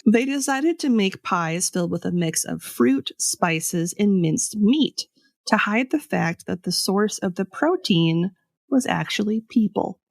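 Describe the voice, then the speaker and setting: A woman podcast host telling a scripted story in a conversational way, with pauses for dramatic effect. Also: medium pitch, animated, gravelly, no echo, clean studio sound. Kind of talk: scripted storytelling with casual asides.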